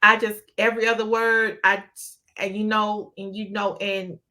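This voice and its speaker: Podcast co-host, woman, crisp bright tone, rants bluntly and swears freely. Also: medium pitch, moderate pace. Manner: blunt, profane, animated ranting. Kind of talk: casual chat.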